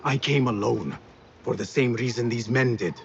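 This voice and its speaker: An actor playing a defiant, driven young assassin in a video game cutscene, with an intense, dramatic delivery. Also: male voice, medium pitch, normal speed, vocal fry, lo-fi sound, a little hiss or hum, lightly acted. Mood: grave, insistent.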